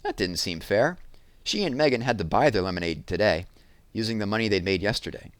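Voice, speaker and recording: A man's voice: on the low side, animated, fairly quick, dry recording. A man reading a children's novel aloud as a storytelling narrator.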